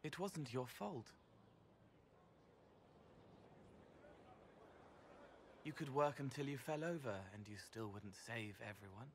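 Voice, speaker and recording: Behind clear background noise and game ambience, a man acts out an otherworldly young male spirit. He speaks at a medium pitch in broken, poetic fragments. He is gentle and feels the pain of others. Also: lightly acted. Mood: earnest, troubled. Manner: fragmented, poetic, halting phrases. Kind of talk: dramatic video game voice acting.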